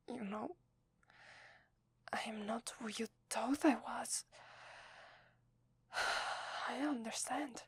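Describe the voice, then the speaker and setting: A sweet, apologetic werewolf wife, played by a woman with an Italian accent, in a whispered ASMR roleplay. Her voice is fairly high and extremely breathy, with a crackle of fry. She speaks hesitantly and tenderly to her lover. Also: no echo, exaggerated voice. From Italy. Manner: hesitant, tender, slow with long pauses.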